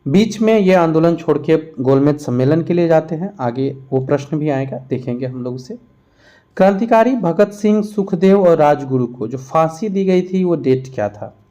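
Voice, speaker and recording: Man, slightly high pitch, very mellow tone, teacher, explanatory question-and-answer revision delivery. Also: animated, normal speed. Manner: explanatory, reads each question aloud then answers it. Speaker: teacher for competitive exam preparation.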